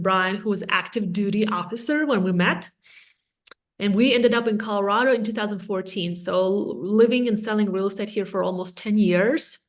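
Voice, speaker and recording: A woman in her thirties from Latvia with a Latvian accent, a real estate agent speaking candidly and energetically as an interview guest. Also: mid-range voice. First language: Russian or Latvian. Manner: candid, energetic, self-deprecating. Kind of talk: casual interview chat.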